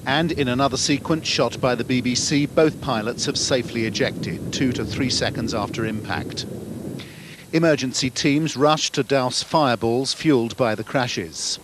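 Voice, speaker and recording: A man's voice: mid-range voice, normal speed. A British man narrating a scripted television news report as a reporter. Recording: archive TV report, noisy outdoor ambience.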